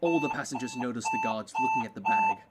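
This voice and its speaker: A man's voice: mid-range voice, huge pitch swings, quick pace, heavy background noise. A man reading scripted stage directions as the narrator of an audio play.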